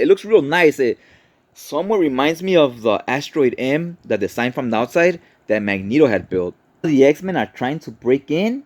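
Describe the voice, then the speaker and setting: A man's voice: medium pitch, darkish tone, no room sound. A man recapping a story in a casual, conversational style, as a host chatting to listeners. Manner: informal, conversational, checks in with "right?". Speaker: host, comic book recapper.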